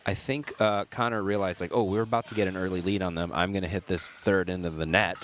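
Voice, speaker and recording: Low-voiced man chatting as pickleball commentator. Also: fairly even intonation, quick pace, a bit bright, a little hiss or hum. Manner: chatty, rambling, chuckling mid-sentence. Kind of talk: casual live sports commentary. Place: indoor pickleball gym.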